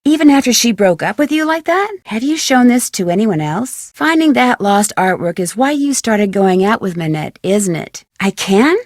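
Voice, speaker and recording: An actress playing a curious, polite young American detective in a video game, speaking clearly and inquisitively. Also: female voice, mid-range voice, animated, moderate pace, hardly any pauses, dry recording, clean studio sound, close to an everyday voice.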